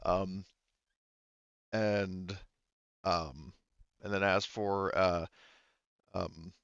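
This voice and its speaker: Male game master, lowish clean voice, halting recap delivery, dry and slightly dull recording. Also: fairly even intonation, a bit bright, smooth, no echo. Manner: halting, thinking aloud. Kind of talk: recapping a tabletop roleplaying session.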